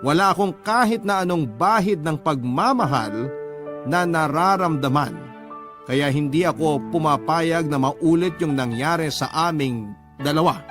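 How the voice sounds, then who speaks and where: Male radio storyteller, slightly high voice, dramatic first-person love story narration over a clear music bed.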